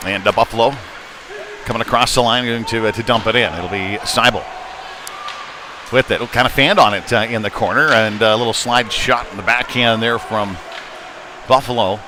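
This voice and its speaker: Man calling hockey over loud arena noise. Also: fairly low pitch, normal speed, long pauses. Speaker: play-by-play hockey announcer. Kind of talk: live sports commentary. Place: indoor ice arena. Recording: live rink broadcast with loud background noise. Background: loud arena ambience.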